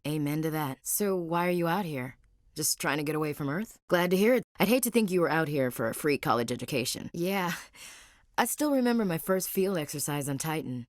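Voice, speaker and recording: Woman doing a blunt american soldier gal from a video game, low voice, dry recording, guarded and wry. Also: dry recording, lightly acted. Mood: wry, candid. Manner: crisp military address, frank, dry humour. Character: blunt, dutiful young female marine soldier, guarded and wry.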